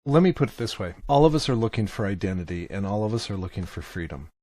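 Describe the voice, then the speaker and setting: A man with a fairly low voice talks as a guest in a dry recording. He is conversational and emphatic, teaching through stories and repeating his key points. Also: expressive, quick pace, dark tone, no echo. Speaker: podcast guest, author and teacher. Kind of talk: conversational storytelling in an interview.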